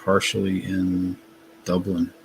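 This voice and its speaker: A man's voice: low voice, monotone, slow pace, vocal fry, a little hiss or hum, poor audio. A man with a light Massachusetts accent, a guest in a casual live chat, correcting people in a plain, matter-of-fact way.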